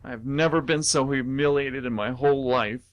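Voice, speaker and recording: A man's voice: medium pitch, moderate pace, no room sound, lo-fi sound, natural voice. A man playing a humiliated, defensive mascot in a radio comedy play, protesting in exasperation. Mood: exasperated, defensive.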